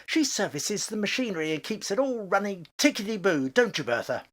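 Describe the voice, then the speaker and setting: An elderly, blustery father, acted by a man in a radio play, with wide swings of pitch. He is by turns grumbling and hospitable, full of exclamations. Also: sing-song, fairly quick, fairly bright tone, heavily acted. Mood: jovial, hospitable.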